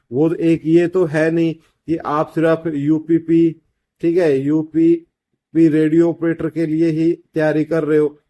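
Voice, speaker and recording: A man's voice: a little high, dark tone. A man giving an informal exam-update explainer as a coaching teacher, repeating himself and addressing students as friends. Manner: repetitive, addresses listeners as friends, often checks with "okay".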